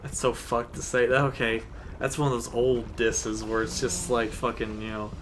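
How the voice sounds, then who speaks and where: A man's voice: mid-range voice, level tone, normal speed, noisy background. A young man hosting his gaming channel, laid-back and rambling, swearing freely as he chats with a friend. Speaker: channel host. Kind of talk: casual gameplay chat. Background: game music.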